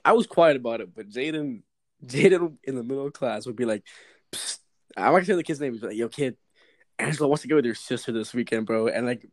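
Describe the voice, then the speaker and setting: A man's voice: mid-range voice, a little mellow. A teenage man hosting a podcast, telling school stories in casual chat with lots of laughing and swearing. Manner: laughing, storytelling, swears freely. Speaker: podcast host.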